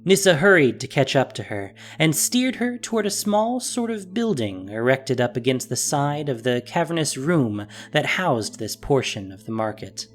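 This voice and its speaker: Male narrator, scripted fiction, animated range, soft music behind. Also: medium pitch.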